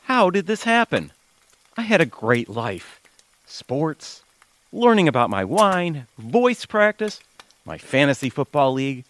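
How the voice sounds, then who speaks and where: A man's voice: fairly high pitch, sing-song, measured pace, fairly bright tone. Guy doing a grumbly retired husband for audio comedy, mock-tragic, voice goes up and down a lot. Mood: aggrieved. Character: grumbling retired husband, theatrical and self-pitying. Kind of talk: audio theater comedy acting.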